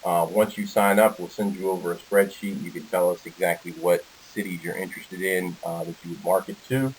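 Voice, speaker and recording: Low-pitched American man presenting, with hiss. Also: steady tone, brisk, poor audio. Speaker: company presenter on a conference call. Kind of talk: informal sales presentation walking through slides. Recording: hissy conference call audio.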